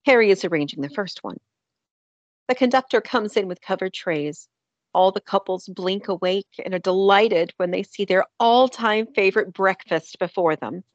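A woman's voice: medium pitch, moderate pace, no room sound, lo-fi sound. A woman narrating a scripted fantasy drama.

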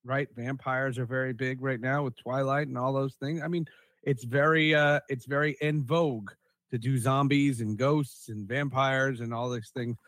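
A man's voice: mid-range voice, fairly even intonation, moderate pace, fairly bright tone, no room sound. A man co-hosting a casual panel podcast, opinionated and emphatic, often repeating himself for stress. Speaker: podcast co-host.

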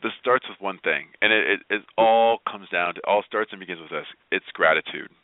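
A man giving motivational advice as a radio interview guest, speaking in an animated, emphatic way with repeated phrases. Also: deep voice, fairly quick, no room sound. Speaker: guest, positivity speaker and blogger. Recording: thin phone-like line.

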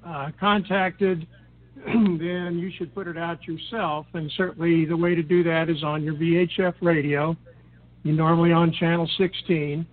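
American man on a tinny phone line. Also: a little high. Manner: measured, halting, frequent throat clearing. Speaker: podcast guest, experienced recreational boater. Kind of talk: unscripted explanatory interview talk.

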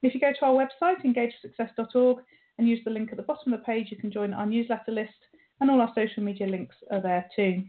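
A woman's voice: fairly high pitch, steady tone, fast talker. A woman with a British accent hosting a radio interview show. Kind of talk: scripted show introduction and conversational interviewing. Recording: narrow phone-line sound.

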